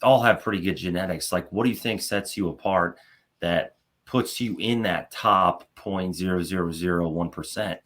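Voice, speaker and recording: A man hosting a podcast, talking in a relaxed, conversational way and asking his guest questions. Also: low voice, normal speed. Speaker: podcast host. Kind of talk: casual podcast interview.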